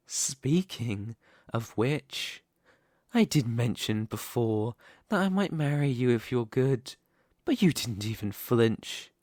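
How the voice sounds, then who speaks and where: A male actor voices a seductive male demon king in an intimate ASMR roleplay. He takes his time over each phrase, patient and possessive, with smug condescension. His mid-pitched voice sits close to the microphone in a very dry space. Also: dry recording. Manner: slow, deliberate, teasing, condescending. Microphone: close studio microphone, dry.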